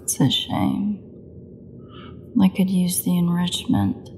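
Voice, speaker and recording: A woman's voice: lowish voice, sing-song, takes it slowly, darkish tone, a little hiss or hum, heavily acted. A woman playing a starving, imprisoned female vampire in an audio roleplay, taunting her captors with a cocky, sarcastic drawl. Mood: dry taunting. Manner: sarcastic, teasing drawl with dramatic drops. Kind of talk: solo audio roleplay acting.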